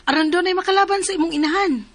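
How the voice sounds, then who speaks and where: A concerned young sweetheart in a Filipino radio drama. Her voice is very high, with a Cebuano accent, recorded close and dry. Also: quick pace, fairly bright tone, no room sound.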